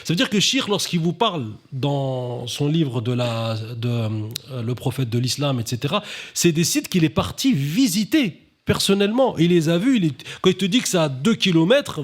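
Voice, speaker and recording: Husky animated French male conference host. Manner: animated, rising pitch when stressing points; sprinkles Arabic religious phrases. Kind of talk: conversational talk on stage.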